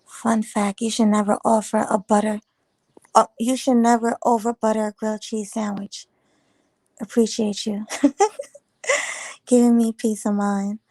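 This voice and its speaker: A woman chats loosely on a morning livestream, greeting viewers and riffing on their comments. Her voice is a touch raspy from the early hour, and it sits close and dry with no room around it. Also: on the high side, flat delivery, measured pace, long pauses, a little mellow, no echo. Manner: chatty, rambling, teasing viewers. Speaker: livestream host. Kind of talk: casual live chat reading comments.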